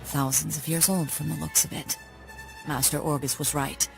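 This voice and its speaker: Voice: medium pitch, little pitch movement, normal speed, creaky, over music, natural voice. An actor playing a serious, cautioning Jedi master in a game cutscene.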